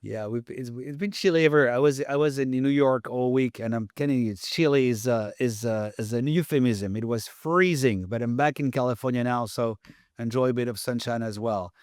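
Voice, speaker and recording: A man's voice: medium pitch, normal speed, a little mellow, no echo. Chatty male podcast host, dry sound. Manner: chatty, stumbling restarts, tells anecdotes. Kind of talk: casual interview hosting.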